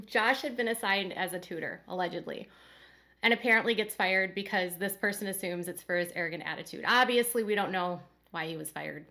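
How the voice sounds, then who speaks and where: A woman's voice: medium pitch, brisk, bright, forceful tone. A woman hosting a casual commentary channel who talks straight to her viewers in a rambling way, her nose congested from a cold.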